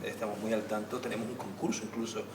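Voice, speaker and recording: A man gives an unscripted panel answer as a theater practitioner, stressing points through repeated phrases and pauses. He is some way from the mic, with room echo and clear background noise. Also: on the low side, flat delivery, recorded from a distance. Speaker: theater actor and director on a panel. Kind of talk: spontaneous answer at a public talk. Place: indoor theater venue during a public discussion.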